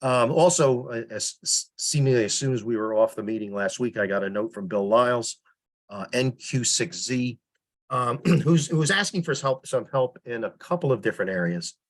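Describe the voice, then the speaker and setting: A man giving an informal report on a teleconference as a public relations volunteer, explaining things in a halting, meandering way. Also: medium pitch. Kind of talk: informal spoken report in online meeting. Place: teleconference call.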